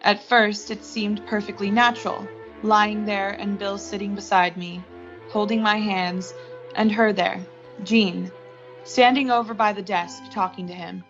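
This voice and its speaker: A woman's voice: medium pitch, steady tone, unhurried, fairly bright tone. A young woman playing an anxious, lovestruck office worker in a 1940s-style suspense radio drama, dramatic and pleading. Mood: tense recounting. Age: young adult. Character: anxious lovestruck female office worker narrating her ordeal. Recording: dull lo-fi audio, music behind.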